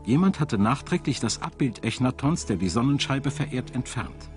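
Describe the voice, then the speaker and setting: A man narrating a scripted documentary in a measured, solemn storytelling manner. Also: mid-range voice, lively intonation. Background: background music.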